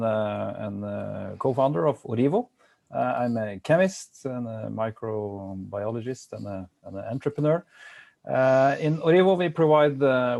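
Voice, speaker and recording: A man presenting his company on a conference panel in a measured, businesslike way. His voice is gravelly and mellow, and the sound is dry and close. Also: mid-range voice, dry recording.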